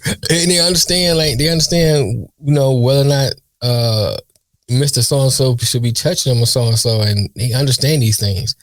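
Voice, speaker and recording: A man's voice: medium pitch, normal speed, dry recording. A man co-hosting a casual chat, musing aloud in rambling thoughts and laughing often.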